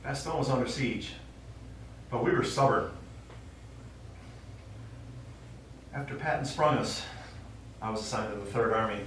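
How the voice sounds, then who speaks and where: An actor playing a stubborn old American war veteran and father, telling his memories haltingly in a stage play. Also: mid-range voice, fairly bright tone, lightly acted. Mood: grim recollection. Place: small theater stage, recorded from the audience. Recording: distant, very echoey theater recording, faint noise.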